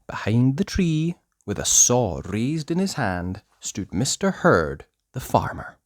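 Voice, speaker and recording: Children's book narrator, theatrical and deliberate, very wide swoops of pitch, slow delivery, dry close sound. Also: no echo. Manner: theatrical, deliberate, with hushed passages and emphatic words. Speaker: storyteller reading a children's book aloud. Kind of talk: expressive children's story narration.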